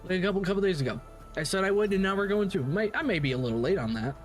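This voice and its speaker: A man's voice: high voice, lively intonation, racing, faint music behind. A man giving casual livestream commentary on games as the host, rambling and opinionated, with casual swearing.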